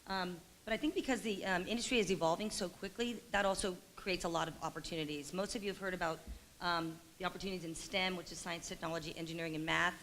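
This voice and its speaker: A woman answering a panel question as an industry recruiter, in a steady, encouraging way. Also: lowish voice, fast, a little hiss or hum, poor audio.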